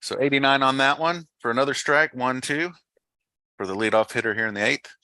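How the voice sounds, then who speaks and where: A man's voice: mid-range voice, brisk, sharp tone, clear, resonant tone, no room sound, low-quality recording. A man giving live baseball play-by-play commentary in clipped, matter-of-fact phrases.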